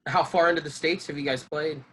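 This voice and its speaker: A man co-hosting a casual podcast, asking his guests relaxed, curious questions. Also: on the high side, fairly quick, breathy edge.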